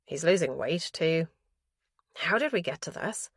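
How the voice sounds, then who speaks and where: A woman's voice: low voice, animated, unhurried, breathy edge, no room sound, studio quality, exaggerated voice. A woman voicing an elderly father who pours his fears about his son into a diary, anxious and guilt-ridden.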